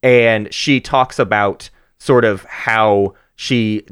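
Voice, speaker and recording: A man co-hosting a casual book podcast, talking about and reading poetry with animated emphasis and halting restarts. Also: on the low side, clean tone, no room sound, clean studio sound. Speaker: podcast co-host.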